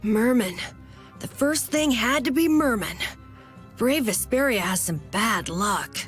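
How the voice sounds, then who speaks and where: Earnest, high-voiced young boy adventurer. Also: lively intonation, slow, soft background music. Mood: dismayed, fretting. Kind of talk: English video game dub acting.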